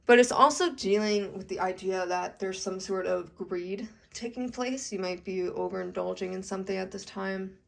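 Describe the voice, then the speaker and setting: A woman's voice: medium pitch, normal speed, creaky, hardly any pauses. A young adult woman giving a casual tarot reading to camera, chatty and full of "okay?" check-ins, her voice a little congested from allergies. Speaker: tarot reader.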